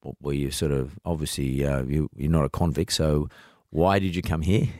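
A man's voice: extremely low pitch, steady tone, moderate pace, darkish tone, no echo, studio quality. A man with an Australian accent hosting a conversational interview podcast in a blunt, casual, probing manner. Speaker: podcast host.

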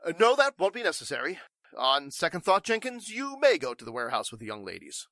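A man's voice: fairly high pitch, big pitch swings, fast, pushed and bright, dry recording, studio quality, heavily acted. A man playing a flustered, evasive warehouse owner in 1940s radio drama style, stammering and speaking with stuffy formality.

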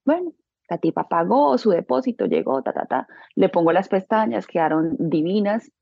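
Casting: Colombian woman, husky with vocal fry, colloquial and expressive storyteller in an informal interview. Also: medium pitch, moderate pace, no room sound, lo-fi sound. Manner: colloquial, expressive, acts out quoted dialogue. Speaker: interview guest, beauty business owner. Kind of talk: casual personal storytelling.

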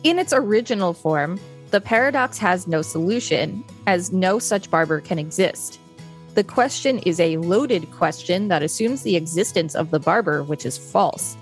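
Lower-pitched woman co-hosting a podcast. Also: expressive, normal speed, faint music behind. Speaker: podcast co-host. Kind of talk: reading an encyclopedia article with casual commentary.

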